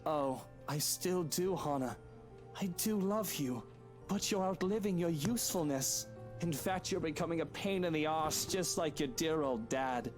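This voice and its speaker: A man's voice: fairly high pitch, measured pace, soft background music, natural voice. A man voicing a greedy, scheming husband in a visual novel game, theatrical, condescending, mock-tender and menacing. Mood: cold greed.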